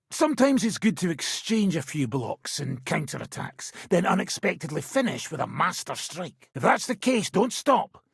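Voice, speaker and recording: An actor playing an impatient, seasoned mercenary swordsman with a rough, gravelly voice, giving blunt, commanding instruction in a medieval video game. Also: high-pitched, dry recording, studio quality, heavily acted. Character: gruff, impatient seasoned male mercenary swordsman teaching combat. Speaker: video game character, sword-fighting trainer.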